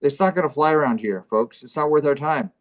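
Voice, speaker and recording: A man co-hosting a live comedy show over an online video call, bantering casually in a deadpan, mock-serious way. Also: a little high, a little fast.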